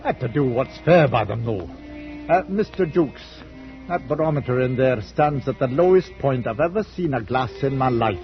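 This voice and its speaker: A man's voice: medium pitch, expressive, close to an everyday voice. An actor, a man, playing a stolid, fair-minded ship's captain who is plain-spoken and understated in an old-time radio drama. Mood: calm, foreboding. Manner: understated, plain-spoken, hesitant with small pauses. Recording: muffled vintage radio recording with music.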